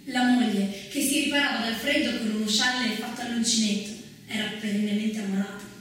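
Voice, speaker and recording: An actress plays an impassioned female narrator with a high, bright voice. She declaims theatrical history with dramatic pauses. The sound is distant and echoey, as from a stage. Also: fairly even intonation, natural voice. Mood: storytelling. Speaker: stage actress in a two-woman theatre play. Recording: echoey stage, distant mic, faint noise.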